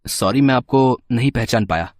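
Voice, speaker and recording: A man's voice: medium pitch, no room sound, clean studio sound, lightly acted. A man playing a hesitant, easily flustered married man in a dramatized audio story. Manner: halting, bashful, with stammers.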